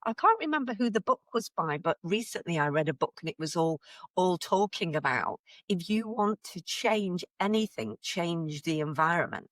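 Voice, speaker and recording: Middle-aged female podcast host, low-pitched but lively, enthusiastic, dry close sound. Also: normal speed, no echo. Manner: enthusiastic, emphatic agreement. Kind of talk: conversational interview.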